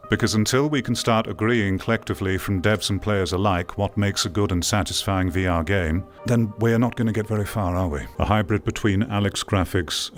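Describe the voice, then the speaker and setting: Deep-voiced man, measured theatrical commentary. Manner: measured, theatrical, rhetorical delivery with pauses. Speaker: video game critic and content creator. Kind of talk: reflective scripted-sounding video essay commentary.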